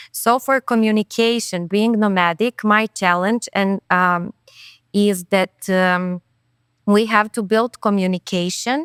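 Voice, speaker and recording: Woman, smooth clear voice, dry close sound, earnest interview talk. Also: mid-range voice, fairly bright tone, no echo. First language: Serbian. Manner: thoughtful, earnest, quick with self-corrections. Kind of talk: podcast interview conversation.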